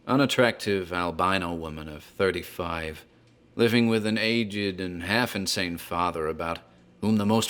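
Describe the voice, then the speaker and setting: An actor playing a talkative tavern landlord who tells a grim tale in a deliberate, theatrical horror drama style. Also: male voice, deep voice, fairly bright tone. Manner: deliberate, theatrical storyteller, literary wording. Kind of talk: dramatized horror storytelling, scripted narration.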